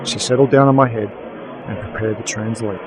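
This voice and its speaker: A man's voice: on the low side, steady tone, fairly quick, noisy background, lightly acted. A man playing a weary, sardonic werewolf private investigator, narrating a noir radio drama in a dry, wry manner. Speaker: narrator and lead.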